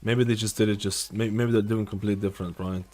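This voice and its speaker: A man's voice: lowish voice, fairly even intonation, quick pace, warm tone. A man gives casual, opinionated live gaming commentary as a streamer, swearing offhandedly. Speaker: game streamer.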